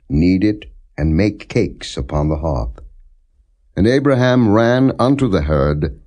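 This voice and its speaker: A man reading scripture as an audiobook narrator, in a solemn, measured, formal manner without dramatization. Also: low-pitched, darkish tone.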